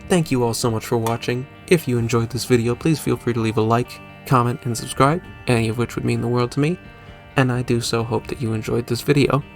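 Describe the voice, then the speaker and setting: A man's voice: mid-range voice, fairly even intonation, a little fast, faint music behind. A man hosting a gaming video with casual, joking, self-deprecating commentary and some mild swearing.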